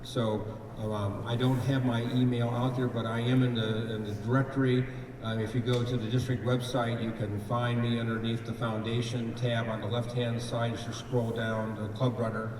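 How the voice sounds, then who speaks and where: A man gives a speech at a district meeting, pausing often with fillers. His voice is picked up from a distance and rings through a large hall with a long echo. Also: mid-range voice, level tone, background noise. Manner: hesitant, many fillers. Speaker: district foundation chair. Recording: echoey hall from far off.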